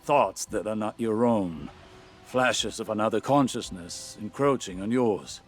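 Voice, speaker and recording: An actor playing a grave, haunted older mentor in a sci-fi game, formal and portentous. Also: male voice, lowish voice, a little slow, soft background music, natural voice. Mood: haunted, cautionary. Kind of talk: scripted sci-fi game dialogue.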